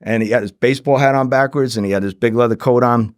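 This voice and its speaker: A man's voice: medium pitch, fairly even intonation, fast talker, smooth, no room sound, clean studio sound. A middle-aged man telling anecdotes casually as a podcast guest, acting out the dialogue and swearing freely. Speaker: podcast guest, former undercover agent.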